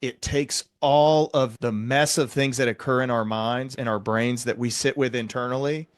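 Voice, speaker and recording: A man hosts a conversational interview in a medium-pitched voice. He explains things reflectively, with a measured, encouraging delivery. The sound is very dry, with hardly any room in it. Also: steady tone, dry recording. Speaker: podcast host interviewing.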